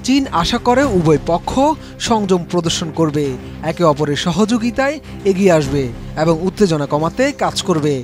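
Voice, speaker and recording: A high-pitched man with a Bangladeshi accent narrates a scripted news report. He speaks as a reporter, with clear background music playing under the voice. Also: animated, normal speed.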